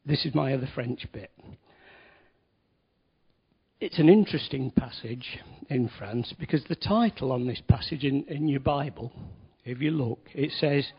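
A man's voice: medium pitch, a little slow, slightly rough. A man giving a conversational sermon in a church hall, with wry asides and emphatic stresses. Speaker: lay preacher. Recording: dull lo-fi recording.

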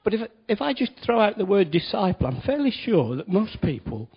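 A man preaches in a relaxed, conversational way, leaning on key words and dropping in wry asides. His voice sits a little high and has a husky edge. The recording is dull and lo-fi, as if taped in a church hall. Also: a little fast. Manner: chatty, wry asides, emphatic stresses. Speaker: lay preacher. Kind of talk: conversational sermon.

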